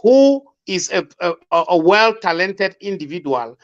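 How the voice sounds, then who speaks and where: A man with a Cameroonian Pidgin English accent, arguing forcefully as a guest in a panel discussion. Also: high voice, very animated, unhurried, muffled recording.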